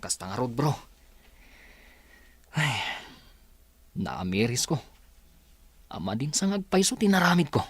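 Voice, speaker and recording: A man's voice: on the high side, very animated, measured pace, long pauses, a bit bright, husky, no echo, exaggerated voice. A man with a Filipino accent acting a proud, evasive young boss's son in a comedy radio drama, defensive and hesitant. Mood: troubled.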